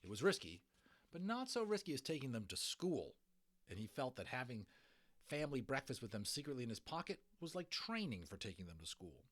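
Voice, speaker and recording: A man reading a children's novel aloud as a dramatic, expressive narrator. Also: medium pitch. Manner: expressive, theatrical. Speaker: audiobook reader.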